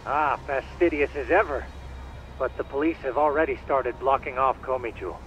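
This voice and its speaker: A man's voice: medium pitch, normal speed, fairly bright tone, slight background noise, close to an everyday voice. A man playing a polite, eager and confident tech expert with formal, precise speech in a video game dub. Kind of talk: dramatic video game voice acting. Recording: dull band-limited sound, faint music.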